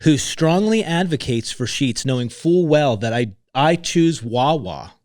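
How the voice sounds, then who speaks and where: A man's voice: on the high side, expressive, a little slow, a little mellow, no room sound, clean studio sound. A middle-aged man, a guest on a podcast, joking in a playful, mock-dramatic way. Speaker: ministry founder, guest. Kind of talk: casual chat.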